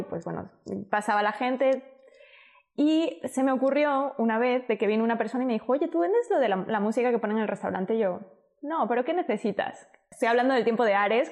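A woman's voice: on the high side, darkish tone. Ecuadorian-born woman, accent half spain half mexico city, talks in a loose chatty way, laughs a lot. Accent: Spanish from Spain mixed with Mexico City. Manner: laughs often, chatty, trails off mid-phrase. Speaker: guest, entrepreneur. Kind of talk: casual conversational storytelling.

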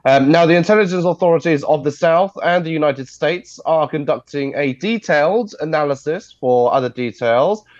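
A man's voice: a little high, moderate pace, few pauses, dry recording. Congested man on a dull video call. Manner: steady explanatory delivery with frequent uh fillers. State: congested, stuffy nose. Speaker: news correspondent. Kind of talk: news briefing over a video call.

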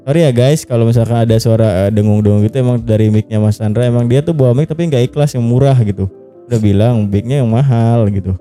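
A man's voice: mid-range voice, warm tone. A young man from Java hosting a podcast in casual slang, teasing, joking and swearing freely. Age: young adult. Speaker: podcast host. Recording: music playing behind the voice. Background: faint music.

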